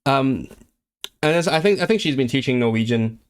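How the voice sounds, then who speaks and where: A man's voice: mid-range voice, expressive, normal speed, clear, resonant tone. A man streaming casually about learning languages, talking in a rambling way with many restarts and fillers, eager and enthusiastic about the subject. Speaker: language livestreamer. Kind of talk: casual livestream chat, reading viewer comments.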